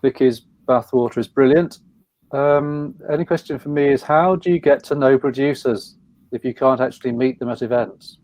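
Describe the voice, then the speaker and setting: A man chairs an online panel in a mellow, warm voice. He reads out audience questions hesitantly, with plenty of fillers along the way. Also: medium pitch, dry recording, rough recording. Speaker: event chair. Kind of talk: moderating a panel discussion.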